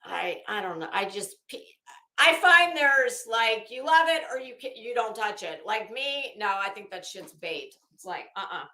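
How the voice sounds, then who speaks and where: A woman's voice: mid-range voice, animated, moderate pace, pushed and bright. An American woman hosting a livestream, chatting casually with viewers in a blunt, emphatic, chatty way. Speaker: livestream host. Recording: echoey, roomy sound.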